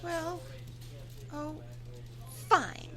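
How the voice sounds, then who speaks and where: A meek, put-out shopper in a horror radio play. Her voice is extremely high and plaintive, set against clear crowd noise. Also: extremely slow, exaggerated voice. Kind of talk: horror audio drama dialogue.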